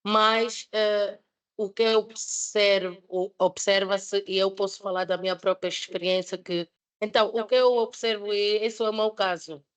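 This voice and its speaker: A woman's voice: mid-range voice, little pitch movement, unhurried, smooth. A woman in her thirties, not a native Portuguese speaker, presenting a project at a panel debate with many fillers and restarts. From Switzerland. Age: 30s.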